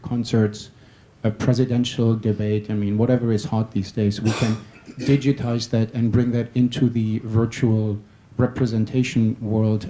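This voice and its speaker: A man introducing himself as a panelist at a conference. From Germany. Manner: steady, businesslike pitch. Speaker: panelist, startup executive.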